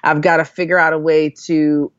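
A woman's voice: sits low, flat delivery, normal speed, clean tone, no echo, highs cut off. A woman from Jamaica, a guest in an interview, talking earnestly and thoughtfully with many fillers and restarts. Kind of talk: reflective conversational storytelling.

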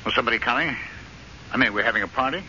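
An actor playing a frustrated, restless American husband in an old-time radio drama, sardonic, emphatic and easily riled. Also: male voice, medium pitch, rapid-fire, bright, forceful tone. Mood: weary, puzzled. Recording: muffled, noisy old radio recording.

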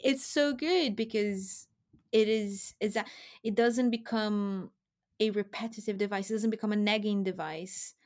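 Woman, podcast guest; mid-range voice, warm enthusiasm, easy laughter. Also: mid-range voice, unhurried. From Brazil. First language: Portuguese. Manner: thoughtful, enthusiastic, laughs often. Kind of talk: casual podcast chat.

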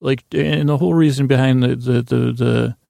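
A man hosting a podcast, rambling in a halting, self-deprecating, whimsical monologue that keeps wandering off topic, with a gravelly, creaky voice. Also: mid-range voice, fairly even intonation, mellow, no echo, clean studio sound. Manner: meandering, halting, goes off topic mid-sentence. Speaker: podcast host.

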